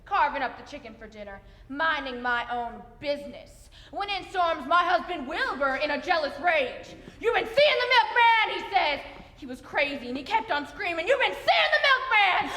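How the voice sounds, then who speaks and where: A woman's voice: high voice, expressive, moderate pace, bright, forceful tone, reverberant, big-room sound. A young woman performing a rhythmic stage chant and monologue in a school reader's theater piece, recorded in a large auditorium with an audience. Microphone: far room mic.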